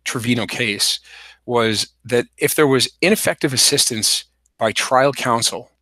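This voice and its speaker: A man hosting a solo legal explainer livestream, hoarse from a cold, talking with many fillers and restarts. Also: medium pitch, unhurried, bright, forceful tone, dry recording. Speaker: podcast host.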